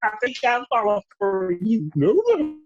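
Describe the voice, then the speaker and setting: A cast member chatting casually on a group video call, playful and halting while answering questions. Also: mid-range voice, very animated, a little mellow, lo-fi sound. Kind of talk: casual chat, anecdotes.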